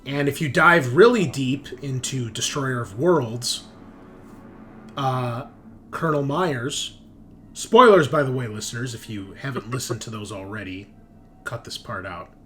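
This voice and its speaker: A man acting as game master, explaining things in a casual recap style, with emphatic starts that trail off quieter. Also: medium pitch, measured pace, soft background music. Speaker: game master of a tabletop roleplaying show.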